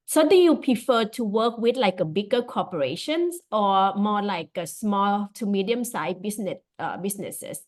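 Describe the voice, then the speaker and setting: A woman from Thailand hosting a casual interview, speaking in a friendly, halting way with many fillers. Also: mid-range voice, animated, darkish tone. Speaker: interview host.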